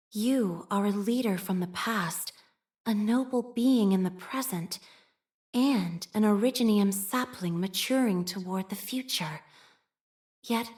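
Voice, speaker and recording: A woman voicing a devout, shy young priestess in a video game, speaking formally and with measured, prayer-like phrasing and a machine-minded reserve. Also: mid-range voice, a little mellow, lightly acted. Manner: formal, measured, liturgical phrasing with hesitant shyness. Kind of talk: scripted game character voice lines.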